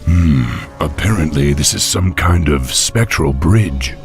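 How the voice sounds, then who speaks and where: A young orc warlord with a very deep, gravelly voice. He is resolute and honorable, weighing each phrase deliberately as in a fantasy game cinematic, with some music underneath. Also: lively intonation, exaggerated voice. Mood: thoughtful, resolute. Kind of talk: video game cinematic acting.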